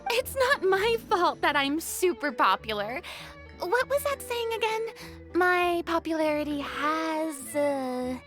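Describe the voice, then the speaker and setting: A woman's voice: very high-pitched, sing-song, slow, a bit bright, soft background music, exaggerated voice. A woman voicing a flamboyant, bossy, self-important young film director in a video game, with theatrical, commanding delivery. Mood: boastful. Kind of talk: acted video game dialogue.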